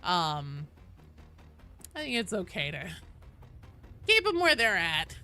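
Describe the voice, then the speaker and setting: A woman's voice: a little high, wildly swooping pitch, normal speed, bright, forceful tone, soft background music. A woman hosting a game livestream, chatting casually and informally with viewers, swearing freely. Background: faint video game sounds.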